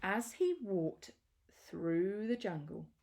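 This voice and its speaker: A woman reading a children's picture book aloud in a deliberate, expressive storytelling manner with pauses. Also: mid-range voice.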